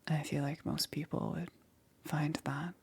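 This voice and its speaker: Casting: woman to play a caring witch for ASMR roleplay, husky whisper with crackly fry, halting and reassuring. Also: low-pitched, fairly even intonation, full character voice. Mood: hesitant, concerned. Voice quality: soft, breathy, often whispered. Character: gentle, apologetic witch necromancer caring for her undead patient. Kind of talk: ASMR roleplay, intimate and slow.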